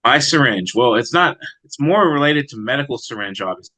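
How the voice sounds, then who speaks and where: A man speaking as a poet in an interview, reflective and measured in his manner. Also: mid-range voice, lively intonation, sharp tone, rough recording. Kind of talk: interview answers and poetry reading.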